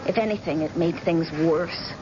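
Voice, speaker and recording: An actress playing a young, anxious and devoted daughter with earnest, dramatic delivery in an old-time radio drama. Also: female voice, fairly low pitch, moderate pace, natural voice. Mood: bitter, sorrowful. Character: blind man's devoted, anxious grown daughter. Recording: muffled, noisy old radio recording.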